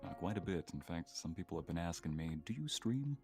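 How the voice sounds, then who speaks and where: A man's voice: low-pitched, animated, fairly quick, a little mellow, breathy edge. A man chatting casually as a guest on a live stream. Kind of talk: casual chat. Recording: faint music behind the voice.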